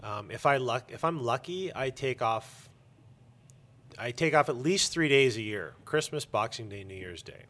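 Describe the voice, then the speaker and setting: A man's voice: mid-range voice, lively intonation, normal speed, a bit bright. A man speaking as a guest in a casual interview, candid and jokey, with emphatic and occasionally crude phrasing. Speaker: interview guest, comic book store owner.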